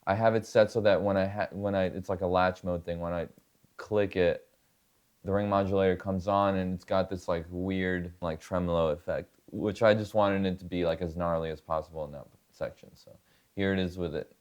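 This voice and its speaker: A man with a low, smooth voice talks casually as a guest musician. His delivery is laid-back and colloquial, with plenty of loose filler words. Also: steady tone, brisk, a little mellow, dull audio. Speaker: guitarist and producer, interview guest. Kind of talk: casual demonstration and chat. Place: home studio.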